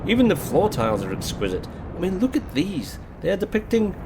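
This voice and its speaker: A man playing a pompous, bookish gentleman scholar in an audio drama, with a pedantic, formal, long-winded manner. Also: sits high, huge pitch swings, a little fast, background noise, exaggerated voice. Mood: pedantic, delighted. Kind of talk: full-cast audio drama acting.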